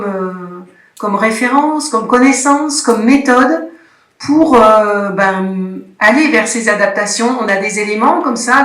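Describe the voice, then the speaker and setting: A woman moderating a workshop discussion in a conference room, searching for words with frequent restarts. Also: on the high side. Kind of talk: hesitant spontaneous moderation. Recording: roomy conference hall sound.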